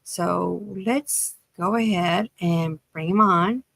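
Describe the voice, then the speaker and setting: A woman's voice: lowish voice, slow, creaky, a bit bright, no echo. A woman hosting a podcast interview, introducing her guest and putting questions, sometimes stumbling as she reads. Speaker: podcast host.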